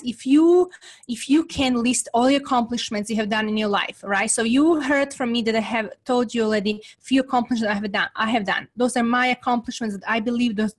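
A woman from Serbia with a Serbian accent, a guest entrepreneur talking earnestly in an interview and often checking in with "right?". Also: fairly high pitch. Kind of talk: motivational interview talk.

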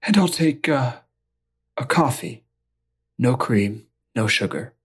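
A woman voicing a cold, taciturn retired male hitman who speaks curtly and deadpan. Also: extremely low pitch, slow pace, slightly rough, full character voice. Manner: curt, flat, deadpan.

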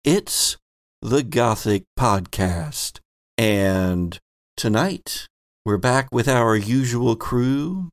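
Man, game master, podcast host, slow deliberate delivery, creaky voice, theatrical recap. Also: medium pitch, expressive, no room sound, clean studio sound. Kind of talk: podcast introduction and story recap.

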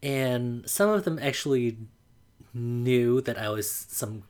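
A man, calmly telling a personal coming-out story to the camera, often pausing on "like" as he thinks. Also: mid-range voice, clean tone. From Burma. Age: 20s. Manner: reflective, unhurried, frequent "like" fillers.

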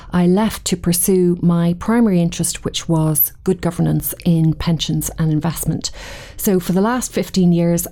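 A middle-aged woman with a Dublin Irish accent, answering podcast interview questions in a measured, thoughtful way with occasional restarts. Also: lowish voice, warm tone. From Ireland. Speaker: guest, committee chair.

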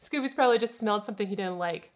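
A brainy young woman sleuth voiced by a female reader in a cartoon mystery. She talks in a dry, deadpan, matter-of-fact way. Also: mid-range voice, racing, natural voice.

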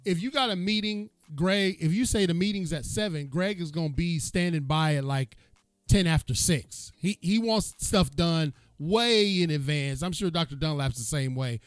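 A male host with a notably high voice, talking in a folksy, joking way. The sound is close and dry, with no room echo. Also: moderate pace. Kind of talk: casual interview chat.

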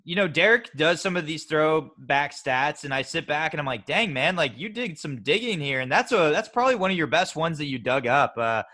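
Male co-host, bright forceful tone, slightly higher voice, loose rambling chat. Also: lively intonation, a little fast, hardly any pauses. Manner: chatty, rambling, many fillers and restarts. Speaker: podcast co-host. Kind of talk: casual sports podcast chat.

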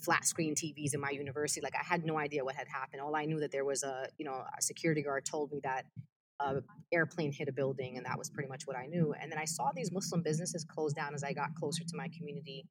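A woman's voice: sits low, steady tone, poor audio. A woman, speaking earnestly and passionately as an activist in an interview. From United States. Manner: earnest, passionate, fast and emphatic. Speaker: activist guest. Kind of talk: interview conversation.

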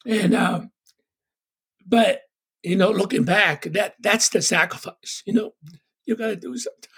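Husky-voiced man. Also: sits high, fairly bright tone. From Tonga. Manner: earnest, fills pauses with "you know". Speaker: interview guest. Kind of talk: personal storytelling in conversation.

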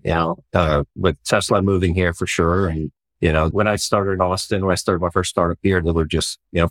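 Deep-voiced man, relaxed founder. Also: dry recording. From Sweden. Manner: relaxed and reflective, with frequent "you know" fillers and light laughs. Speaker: startup founder and CEO. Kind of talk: casual interview answers.

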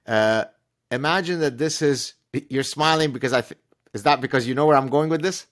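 Emphatic man with Arabic as his first language, a podcast guest who talks with animated sarcasm at an ordinary pitch for a man. He lays on his points with heavy, pointed emphasis. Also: fairly quick. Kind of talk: podcast conversation.

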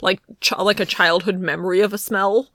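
A woman's voice: medium pitch, fairly even intonation, moderate pace, fairly bright tone, no echo, studio quality. A woman co-hosting a casual chat, speaking in a hesitant, wry way. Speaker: podcast co-host.

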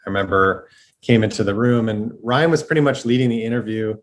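A young man speaking as a podcast guest, hesitant and halting, with many restarts as he apologizes. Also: lowish voice, a little hiss or hum. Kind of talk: apologetic conversation.

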